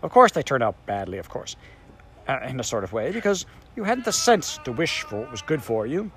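Grumpy little sand creature done by a man, sharp and cross, voice bouncing all over. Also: mid-range voice, very animated, brisk. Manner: cross, snappish, self-important. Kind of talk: audiobook character voice.

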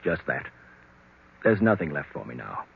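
A defeated, gentle old uncle in a radio mystery. His low voice is rough-edged and hesitant, heard through a tinny vintage recording. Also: very animated, moderate pace, full character voice. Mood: defeated, resigned. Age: older. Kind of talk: 1940s radio mystery acting.

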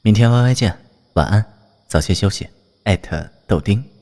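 A man playing a calm, reserved young online singer in an audio drama, gentle and measured. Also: fairly low pitch, big-room sound, lightly acted.

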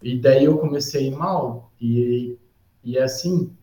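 A man speaks in a warm, dark tone, relaxed and rambling as he recalls his student years. He keeps checking in with his listener through small tag questions. The room gives his voice a noticeable echo. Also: mid-range voice, a little slow. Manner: relaxed, rambling, with frequent "tá?" and "sabe?" tags. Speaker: podcast guest, civil engineer. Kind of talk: casual storytelling. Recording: roomy echo.

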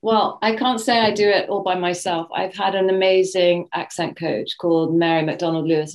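A woman's voice: fairly low pitch, gravelly, a little mellow. A woman from New Zealand with a British RP accent, an actress thoughtfully answering interview questions and searching for her words. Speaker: actress guest.

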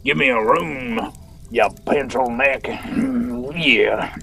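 A man putting on a gruff blustering wrestler. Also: medium pitch, slow pace, bright, forceful tone, soft background music, full character voice. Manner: gruff trash talk. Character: tough, blustering male pro wrestler.

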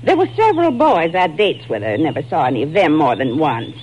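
A woman's voice: deep voice, big pitch swings, brisk, darkish tone. An actress playing a gossipy, fretful rooming-house landlady in a 1950s radio drama, chatty, folksy and insinuating. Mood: recollecting. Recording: noisy old radio recording.

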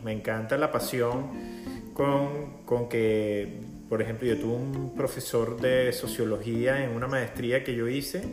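Host conversador en sala con eco. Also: male voice, medium pitch. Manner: chatty, effusive, joking. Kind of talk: casual live interview. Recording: roomy sound with guitar music behind. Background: guitar music.

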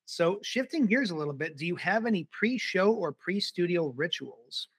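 A man's voice: sits high, expressive, moderate pace, a bit bright, breathy edge, no room sound. A man hosting a podcast interview, chatting casually and affirming his guest with upbeat remarks. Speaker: podcast host.

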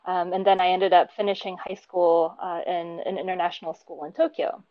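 A woman's voice: fairly low pitch, flat delivery, brisk, no echo. Thoughtful woman in her thirties, dry dull audio. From born in Japan, raised between the US and Japan. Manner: warm, thoughtful, frequent ums and light laughs. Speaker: interview guest, program teacher. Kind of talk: casual interview answers.

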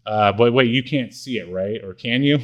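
A man hosts a podcast in an easy, informal chat. His voice sits at a middling pitch, and he speaks in a hesitant, stop-and-start way. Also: moderate pace, dull audio. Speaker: podcast co-host. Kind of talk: casual chat.

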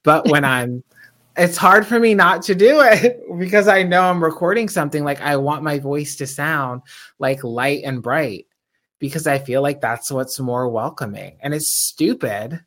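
A man co-hosting a podcast in casual chat. He has a naturally high voice and talks reflectively, growing animated when he stresses a point. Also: moderate pace. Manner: reflective, self-aware, animated when emphatic. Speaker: podcast co-host.

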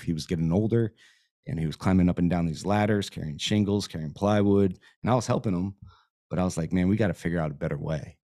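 A man's voice: sits low, dark tone, no room sound. A man from Florida, a podcast guest losing his voice, chatting in an easygoing, rambling way in a podcast studio. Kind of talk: casual interview chat.

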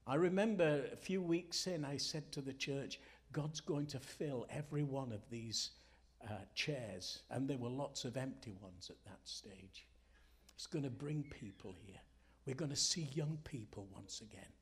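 Slow, deliberate man preaching. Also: medium pitch. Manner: earnest, deliberate, emphatic phrasing with dramatic pauses. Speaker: guest preacher, former pastor. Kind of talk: Sunday morning sermon, storytelling.

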